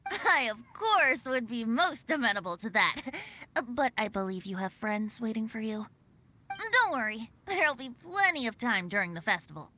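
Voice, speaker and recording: A woman's voice: a little high, very animated, moderate pace, sharp tone, a little hiss or hum. A woman voicing a playful, teasing young male bard in a video game, laughing often. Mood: mock-dramatic. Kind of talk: game voice acting.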